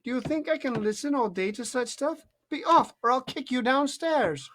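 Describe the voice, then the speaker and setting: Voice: high-pitched, lively intonation, fairly bright tone, slight background noise, voice pushed up. A parent reading aloud, voicing a polite, anxious young girl in a raised voice that stumbles over words. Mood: reciting. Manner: raised, hurried, slightly stammering.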